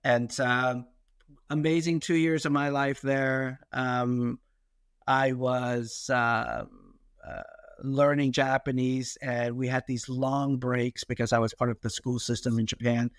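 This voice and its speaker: Middle-aged male, American accent, mid-pitched voice. Reflective, halting interview delivery, recorded dry. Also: little pitch movement, dry recording. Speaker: podcast guest, investor. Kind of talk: conversational life-story interview.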